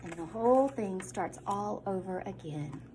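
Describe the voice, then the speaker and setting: A woman's voice: medium pitch, lively intonation, unhurried. Woman reading expressively to children, noisy background. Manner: dramatic emphasis with hushed asides. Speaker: teacher reading a picture book to children. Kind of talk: expressive read-aloud of a children's story.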